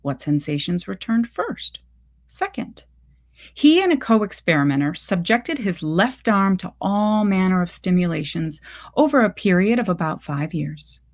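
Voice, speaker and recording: A woman reading a literary short story aloud in measured, deliberate phrases. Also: fairly low pitch, expressive.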